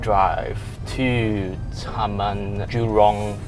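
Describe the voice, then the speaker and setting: A man's voice: lowish voice, little pitch movement, extremely slow. Upbeat man in his thirties, food vlog host, lapel mic at open food centres, music bed underneath. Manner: upbeat, chatty, explains dishes to camera. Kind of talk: casual food vlog chat. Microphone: clip-on lapel mic.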